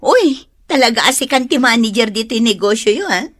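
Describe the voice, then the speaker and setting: A woman's voice: on the high side, animated, brisk. An actress playing a teasing, frank young woman who nudges a friend toward romance in a radio drama. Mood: playful.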